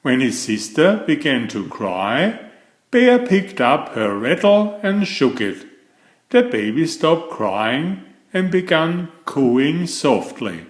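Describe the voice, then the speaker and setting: A man narrating a children's story in an expressive, deliberate way for young listeners. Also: on the high side, reverberant. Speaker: storybook reader and children's author.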